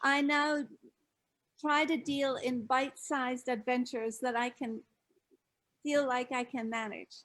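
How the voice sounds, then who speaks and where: Older Scottish-born woman, high voice. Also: level tone, takes it slowly, a bit bright, poor audio. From Scotland. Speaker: guest. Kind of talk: casual interview chat.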